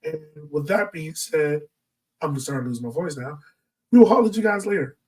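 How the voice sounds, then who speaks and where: A man's voice: fairly high pitch, expressive, brisk, a little mellow, distant mic. An American man hosting a podcast in a casual, informal way, speaking directly to his listeners from a little way off the mic. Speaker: podcast host.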